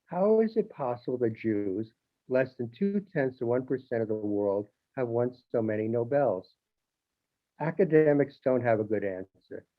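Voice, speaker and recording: A man's voice: mid-range voice, lively intonation, moderate pace. A man who writes a newspaper column, speaking informally to the audience with restarts, self-corrections and wry jokes. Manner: conversational, with restarts and wry asides. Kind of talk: informal monologue. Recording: phone-like narrow band.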